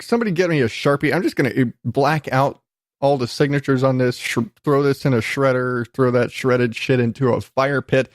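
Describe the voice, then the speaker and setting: A man's voice: mid-range voice, no echo, clean studio sound. A man hosting a podcast in a casual, ranting comic monologue, exasperated and emphatic, with plenty of swearing. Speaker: podcast host.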